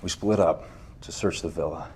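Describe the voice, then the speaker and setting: A man acts a defensive soldier in a cinematic game. The voice is deep and the delivery clipped, earnest and insistent, as of someone guarding himself under questioning. Clear background noise sits behind it. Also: moderate pace, darkish tone, close to an everyday voice. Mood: guarded. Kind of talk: cinematic video game acting.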